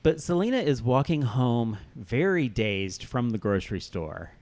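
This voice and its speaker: A man's voice: medium pitch, very animated, unhurried, darkish tone. A man hosting a podcast in casual, comedic chat, talking conversationally with lots of filler "like"s. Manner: conversational, peppered with "like". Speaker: podcast host. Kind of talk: casual comedic chat recapping a music video.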